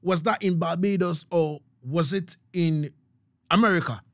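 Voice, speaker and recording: A man works as a radio interviewer. His voice sits a bit higher than most men's and sounds dry and close. He talks casually, repeating phrases and checking that he is being understood. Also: a little slow, a bit bright, dry recording.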